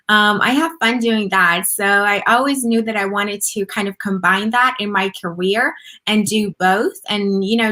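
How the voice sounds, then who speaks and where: A woman's voice: mid-range voice, bright, forceful tone. A woman from Germany, guest on an interview show, talking casually and upbeat about her marketing work. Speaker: podcast guest, marketing agency founder.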